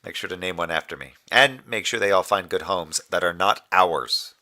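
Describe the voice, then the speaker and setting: A moon base communications officer in an audio drama, a man with a very low voice and a crisp, forceful edge. He delivers official reports in measured, formal phrases. A dry, sardonic streak runs under the dutiful manner. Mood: fond, wry. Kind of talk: scripted audio drama monologue as a radio report.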